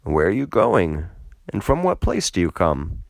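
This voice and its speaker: A man's voice: deep voice, very animated, measured pace, creaky, dry recording, heavily acted. A man reading a Bible story, voicing a hospitable, protective old householder in a solemn, emphatic manner. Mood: welcoming.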